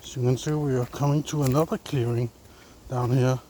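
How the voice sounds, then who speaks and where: A man's voice: medium pitch, fairly even intonation, brisk, mellow, rough recording. A man from Denmark with a Danish accent, speaking English as a second language, casually vlogging as he walks a forest trail, thinking aloud and sometimes searching for words. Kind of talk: casual walking vlog. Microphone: handheld phone. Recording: outdoor phone recording with clear background noise.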